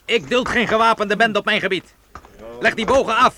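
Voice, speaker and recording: An arrogant feudal lord, extremely high in pitch with a sharp, forceful edge. He hands down emphatic, menacing commands in a radio drama. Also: moderate pace. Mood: imperious, threatening. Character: haughty threatening feudal knight, lord of his land. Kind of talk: radio play acting.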